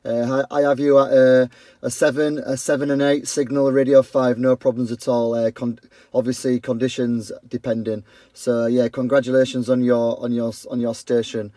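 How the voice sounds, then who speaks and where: A man works a portable CB radio outside his house, warm and chatty. His voice is mellow and relaxed. It sounds dry, with no reverb around it. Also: mid-range voice, normal speed. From York, England. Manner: friendly, keeps saying "my friend". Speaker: CB radio operator. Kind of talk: radio contact exchange.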